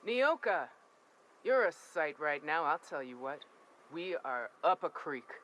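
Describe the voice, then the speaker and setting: A bright-voiced soldier, folksy and worn, outdoors with faint noise. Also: male voice, fairly high pitch, sing-song, a little slow, heavily acted. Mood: relieved. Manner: folksy drawl, casual and worn. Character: weary frontier soldier in trouble, adult man.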